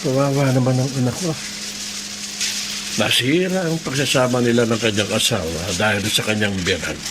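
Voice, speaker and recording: A man's voice: mid-range voice, moderate pace, noisy background, close to an everyday voice. A middle-aged man playing a humble, prayerful working father in a Tagalog radio drama, speaking in an earnest, plaintive way. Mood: weary, concerned.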